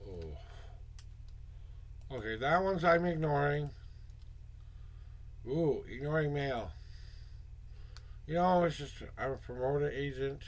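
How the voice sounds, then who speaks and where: A hoarse man hosting a casual tarot livestream, muttering asides to himself and greeting viewers in a loose, chatty way. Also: on the high side, animated. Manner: upbeat, drawn-out greetings to viewers. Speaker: tarot reader and livestream host.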